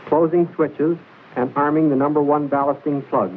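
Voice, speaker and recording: A man's voice: fairly high pitch, fairly even intonation. Tinny, hissy 1940s male narrator. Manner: measured, precise, formal explaining. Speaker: training film narrator. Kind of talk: 1940s military training film narration, scripted and instructional. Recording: hissy band-limited 1940s film soundtrack.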